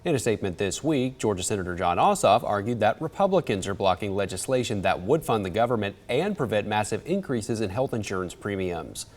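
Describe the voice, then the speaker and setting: A male news anchor with a fairly low voice reads the script in a steady, measured manner. His tone is even and professional, suited to a local TV broadcast.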